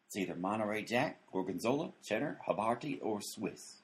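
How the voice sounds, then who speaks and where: A man's voice: lowish voice, animated, normal speed. A man playing a dim-witted, unbothered sandwich maker in a radio comedy, speaking in a folksy, matter-of-fact way. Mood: obtuse, unbothered.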